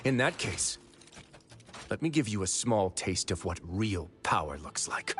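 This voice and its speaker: An actor playing a composed, dryly menacing prison warden duke in a fantasy game, calm and wry. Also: male voice, fairly low pitch, a little slow. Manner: composed, wry, quietly threatening. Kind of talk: game character voice acting. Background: game music.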